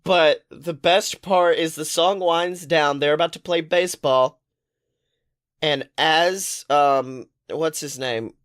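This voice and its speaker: A man co-hosting a podcast, chatting casually in an animated, rambling, profane storytelling manner. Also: a little high, slow pace, clear, resonant tone. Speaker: podcast co-host. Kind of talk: casual pop-culture chat.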